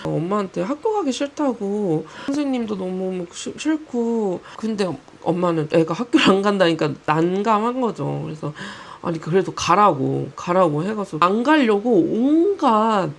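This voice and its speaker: A woman's voice: mid-range voice, expressive, normal speed, gravelly, hardly any pauses. A woman in her twenties chattily and candidly telling stories from her own childhood, with quoted asides. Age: 20s. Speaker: YouTuber. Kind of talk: casual storytelling about her own life.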